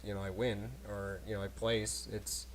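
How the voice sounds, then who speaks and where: A man hosting a casual podcast on a cheap desk microphone, talking in animated, rambling bursts with frequent laughter. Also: on the low side, moderate pace. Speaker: podcast host. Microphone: cheap desk microphone at a laptop.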